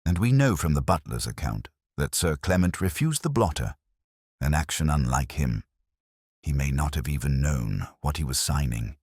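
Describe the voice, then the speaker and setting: A man voicing an analytical, composed Victorian detective in an audiobook, speaking precisely and deliberately with cool reasoning. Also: very deep voice, very animated, darkish tone, breathy edge, dry recording, clean studio sound, full character voice. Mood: certain, grave.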